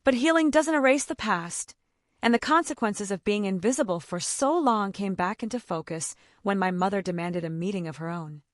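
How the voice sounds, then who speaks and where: A woman's voice: medium pitch, lively intonation, normal speed, no room sound, studio quality. A woman delivering dramatic first-person scripted narration for an audio story.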